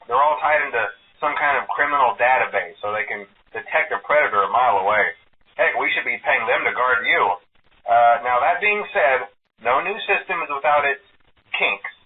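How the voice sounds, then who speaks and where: An actor playing a nervous, chatty company man who rambles hesitantly and reassuringly through a phone message in a horror game, heard through a telephone filter. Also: medium pitch. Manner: hesitant, rambling, falsely reassuring, frequent uhs. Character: nervous, chatty company man training a new night guard. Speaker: phone caller. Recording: tinny, narrow phone line.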